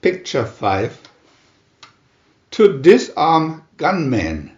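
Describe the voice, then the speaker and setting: Slow, theatrical male narrator, swooping pitch. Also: wildly swooping pitch, darkish tone, echoey room, dull audio. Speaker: narrator reading aloud a picture-reel booklet. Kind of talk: dramatic scripted narration of a comic adventure.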